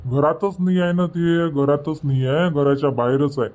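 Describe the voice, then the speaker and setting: A man's voice: fairly high pitch, steady tone, moderate pace, darkish tone, slight background noise, close to an everyday voice. A man voicing a village father and husband in a comedy cartoon, talking colloquially in Varhadi Marathi and repeating his points. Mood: concerned. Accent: Varhadi Marathi from Vidarbha.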